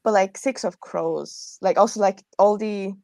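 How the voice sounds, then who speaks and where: Young adult woman, mid pitch, dry recording. Informal, rambling panel talk with self-corrections. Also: a little slow, vocal fry, a little mellow, dry recording. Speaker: panelist in a writers' livestream. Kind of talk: casual chat.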